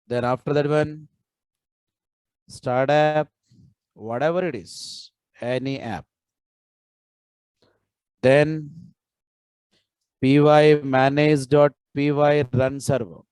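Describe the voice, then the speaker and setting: A man's voice: a little high, fairly even intonation, slow pace, pauses often, a little mellow, smooth, dry recording, rough recording. A man teaching a live online coding class, nudging his students to respond and repeating his prompts. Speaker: programming instructor.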